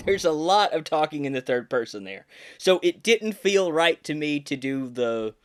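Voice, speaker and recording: A man's voice: fairly high pitch, sing-song, a little slow. A man in his thirties hosting a podcast, telling behind-the-scenes stories casually in a hesitant, self-deprecating way. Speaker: podcast host and puppeteer.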